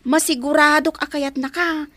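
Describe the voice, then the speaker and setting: A woman's voice: high-pitched, fairly even intonation, moderate pace, fairly bright tone, dry recording. A woman with an Ilocano accent playing a nosy, teasing household helper and confidante in an audio drama. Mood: eager, teasing.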